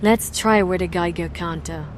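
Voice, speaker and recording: Woman acting focused uneasy investigator lady, audio drama, low-ish voice, clear noise behind. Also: normal speed, natural voice. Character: focused female paranormal investigator, watchful and uneasy.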